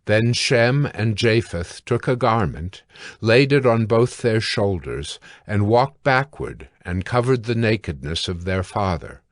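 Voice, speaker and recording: A man narrating scripture from a script in a measured, sober manner. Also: lowish voice, lively intonation, dry recording, studio quality.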